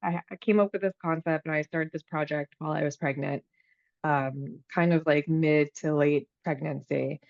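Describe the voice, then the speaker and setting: A woman in her thirties from the United States, hosting a podcast in a relaxed, chatty way, with lots of fillers and restarts. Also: low voice, normal speed, vocal fry, no room sound, highs cut off. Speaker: podcast host. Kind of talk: casual conversation.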